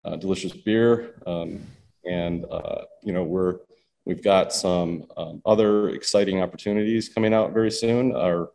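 A man who co-owns a distillery, speaking haltingly with many ums in a casual interview. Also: sits low, fairly even intonation, creaky, warm tone. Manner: halting, full of ums and uhs. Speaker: distillery co-owner. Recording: faint background noise.

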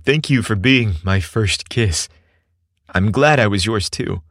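A man's voice: lowish voice, measured pace, fairly bright tone, dry recording, clean studio sound. Man as a sweet, hesitant young guy. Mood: tender.

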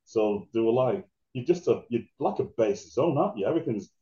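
Deep voiced guy chatting about his dj days, lots of restarts, dark tone, kinda echoey. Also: expressive, a little fast. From England. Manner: rambling, many restarts. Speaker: guest DJ. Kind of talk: casual interview storytelling. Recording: roomy, dull lo-fi sound.

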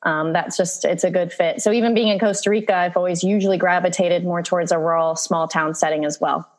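A woman's voice: on the low side, fairly even intonation, a little fast. A woman from Virginia, a guest on a podcast, telling stories in a friendly, easygoing way and laughing often. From Virginia, USA. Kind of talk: casual interview chat.

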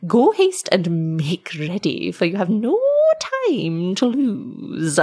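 A woman's voice: on the low side, wildly swooping pitch, takes it slowly, warm tone, slightly rough, exaggerated voice. A woman voicing a small, solemn male dwarf messenger in a story, speaking formally with archaic courtesy. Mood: courteous, urgent.

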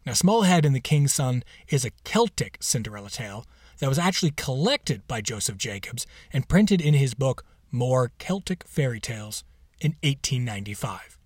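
A man's voice: mid-range voice, big pitch swings, moderate pace, a little mellow, husky, no echo, studio quality. A man hosting, giving a scripted introduction to a story. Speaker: host.

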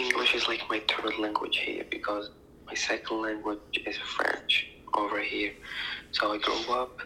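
A man talks slowly in a casual podcast chat, his phrasing soft and hesitant. His voice is low and gravelly but very bright in tone, and a faint hiss of noise sits under it. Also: low-quality recording. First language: Arabic. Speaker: podcast co-host.

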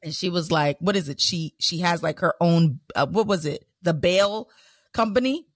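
A woman's voice: lowish voice, moderate pace, no echo, clean studio sound. A woman co-hosting a casual podcast chat, telling stories and acting out other people's words with frequent laughter. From Haitian heritage. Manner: animated storyteller, acts out quotes, laughs often. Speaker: podcast co-host.

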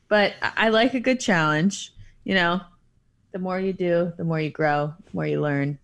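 Giggly woman podcast co-host. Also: on the low side. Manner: giggly, self-deprecating, halting over names. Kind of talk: casual chat.

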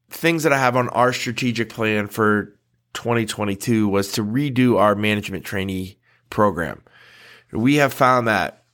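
A man's voice: fairly low pitch, fairly bright tone. A man hosting a podcast in a casual, conversational way, a little nasal from a cold, with frequent fillers and restarts. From United States. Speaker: podcast host.

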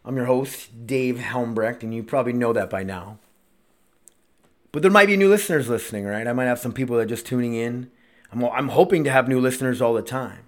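A man in his late thirties talks through his own podcast alone. His voice sits in an ordinary middle pitch. He wanders from thought to thought, restarts his sentences and drops curse words casually. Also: fairly quick. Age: 30s. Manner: rambling, profane, self-interrupting. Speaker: podcast host.

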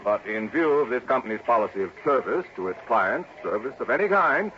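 A man's voice: mid-range voice, animated, brisk, a little hiss or hum, close to an everyday voice. An actor playing a flustered, deferential male insurance agent, formal and hesitant, in old radio drama style. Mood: earnest, fussy. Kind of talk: 1950s-60s American radio drama acting. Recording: dull, telephone-like old radio recording.

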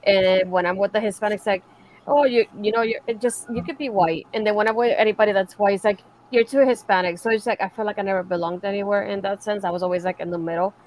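A woman from Puerto Rico chats on a podcast panel at a brisk pace. She is earnest and emphatic, in a medium-pitched voice with slight noise behind it. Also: a little mellow, rough recording. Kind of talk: casual panel chat.

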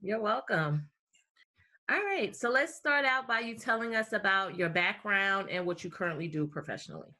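A woman's voice: mid-range voice, lively intonation, normal speed, pushed and bright, dry recording. A woman hosting a podcast interview, enthusiastic and chatty, often repeating her praise. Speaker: podcast host. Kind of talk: casual interview, upbeat.

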